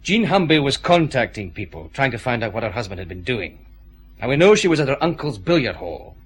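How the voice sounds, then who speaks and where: An actor playing an authoritative detective chief inspector in a radio drama, with a clipped, commanding delivery. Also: male voice, medium pitch, very animated, fairly quick, a bit bright. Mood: stern. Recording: clear background noise, ambience.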